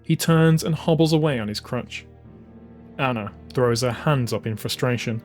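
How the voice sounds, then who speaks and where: A man's voice: medium pitch, normal speed. A man reading scripted, dramatised narration as an audiobook narrator. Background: music.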